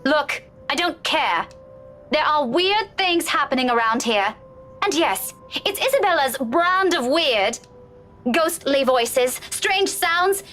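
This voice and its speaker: A woman's voice: high voice, fairly bright tone. An actress playing a headstrong, irritable young woman in a visual novel, speaking curtly and firmly.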